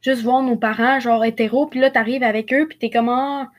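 A woman's voice: on the high side, steady tone, moderate pace. A young woman with a Quebec French accent, telling things in a slangy, casual way on a group video call. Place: indoors at home, on a video call.

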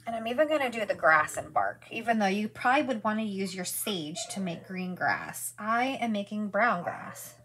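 A woman's voice: medium pitch, lively intonation, moderate pace, hardly any pauses, a bit bright, slight background noise, poor audio. A woman in her forties hosting a live craft demonstration from her studio, chatty and gushing, greeting viewers by name as she works.

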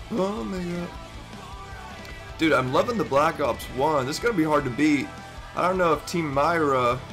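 Hoarse young man reacting excitedly. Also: fairly high pitch. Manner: excitable, swears often, stammers and laughs. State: hoarse, voice nearly gone. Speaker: YouTuber reacting to a gaming montage. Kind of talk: casual reaction commentary. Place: bedroom indoors. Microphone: over-ear headset.

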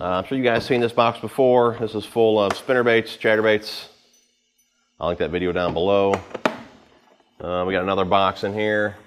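A man in his thirties giving a casual, unscripted walkthrough to camera in an informal, rambling way, with pauses as he handles gear. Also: on the low side, a little hiss or hum. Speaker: vlogger giving a boat tour. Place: home garage with the door open.

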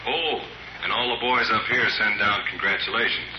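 A matter-of-fact policeman played by a man reporting in a deep voice with brisk slang. It is 1950s radio acting, and the sound is echoey, dull and noisy. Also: natural voice. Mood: businesslike. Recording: echoey, muffled, noisy old radio recording.

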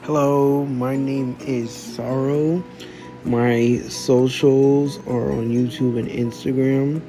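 Teen male, slow and earnest, dark mellow tone, faint music. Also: medium pitch, level tone, rough recording. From United States. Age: teens. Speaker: contestant. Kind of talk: casual self-introduction.

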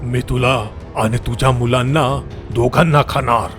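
Guy doing a nasty cold snake villain, voice dropped way down, slow threats for a kids cartoon. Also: medium pitch, fairly even intonation, a bit bright, soft background music, pitched below the performer's own voice, lightly acted. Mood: cold menace. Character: menacing, merciless venomous male cobra. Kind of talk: children's cartoon villain acting.

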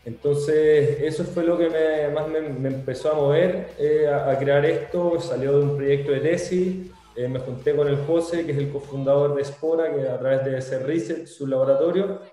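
A man's voice: a little high, steady tone, moderate pace, few pauses, dark tone. A young man from Venezuela with a Chilean Spanish accent, chatting casually as an interview guest over a video call, full of restarts and slang. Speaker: guest, startup founder. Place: home room on a video call. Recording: very echoey room, faint music.

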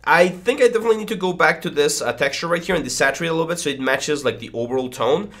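A man's voice: a little high, racing, a bit bright. A man in his thirties, a little congested, teaching 3D modelling in a casual tutorial, rambling with lots of fillers and stammers, recorded on a headset mic in a small home studio. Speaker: 3D modelling instructor. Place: small home studio room with foam panels. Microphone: headset with boom mic.

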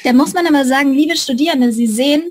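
A woman's voice: high voice, fast talker, darkish tone, dry recording, clean studio sound. A young adult woman co-hosting a conversational academic interview, speaking as a lecturer. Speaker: co-host, lecturer. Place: indoor room with bookshelves, on a video call.

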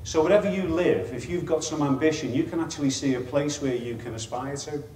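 A man's voice: a little high, fairly quick, darkish tone, roomy sound, distant mic, a little hiss or hum. Chatty middle-aged man with a Liverpool accent. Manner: chatty, self-deprecating, wry asides. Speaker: chief executive giving a conference talk. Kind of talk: informal presentation to an audience. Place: meeting room with an audience. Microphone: lapel mic.

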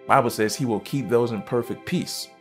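A man in his thirties, a pastor preaching a sermon from a church stage, fervent and emphatic, jumping from loud bursts to quiet asides. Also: medium pitch, moderate pace, faint music behind. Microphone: headset mic.

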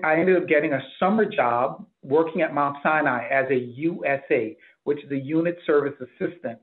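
Male executive, middle-aged, from the Bahamas, slightly high pitch, interview answers, thin phone-like call audio. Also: normal speed. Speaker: hospital chief executive being interviewed. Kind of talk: interview answers about career. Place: office, indoors. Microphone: computer mic on a video call.

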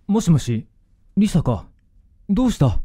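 Male actor playing middle-aged dad, very dark tone, husky, radio drama. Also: on the high side, sing-song, measured pace, full character voice. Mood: concerned. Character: father of a grown daughter on the phone. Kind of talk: Japanese radio drama acting.

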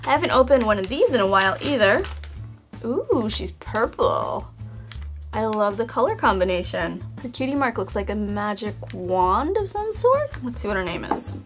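A woman hosting a toy unboxing video in a casual, chatty way, her voice a little hoarse from just waking up. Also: mid-range voice, big pitch swings, moderate pace, soft background music. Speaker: toy unboxing channel host. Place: indoors at a table. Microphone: off-camera, hands-only tabletop shot.

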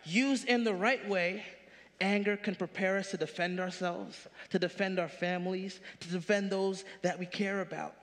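A man in his thirties preaches from a big church stage, his high-set voice lifting into punchy questions. The sound rings out with the long reverb of a large hall. He is animated and direct, in full sermon mode. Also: moderate pace. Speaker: pastor.

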